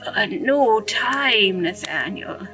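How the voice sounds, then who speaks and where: A woman's voice: medium pitch, animated, extremely slow, pushed and bright, far from the mic, faint music behind, heavily acted. A woman playing a weary, dying old woman with solemn, archaic diction in a ghost story audio drama.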